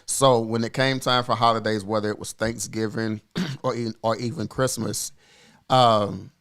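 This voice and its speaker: A man in his forties, a podcast co-host, telling a personal story in a reflective, earnest way with frequent pauses and fillers. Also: fairly low pitch, fairly even intonation, a bit bright, clear, resonant tone. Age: 40s.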